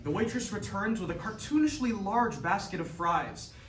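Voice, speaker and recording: High-pitched man narrating in an echoey room. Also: lively intonation, normal speed, a bit bright. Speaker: stage directions reader. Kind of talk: scripted narration at a staged reading. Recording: echoey room, distant, faint noise.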